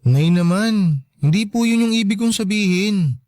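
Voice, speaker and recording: A man's voice: sits high, lively intonation, moderate pace, a little mellow, no room sound, clean studio sound. An actor playing a meek, apologetic young man, a polite but defensive son in a radio drama. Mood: defensive, pleading. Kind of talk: Tagalog radio drama acting.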